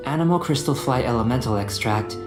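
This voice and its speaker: A courteous young alchemist from a video game, played by a man, with a medium-pitched, composed voice. He phrases things precisely, like a detached scholar. The sound is slightly roomy, with music clearly behind it. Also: animated, lightly acted. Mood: measured. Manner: measured, polite, analytical, formal phrasing. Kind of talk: video game character acting.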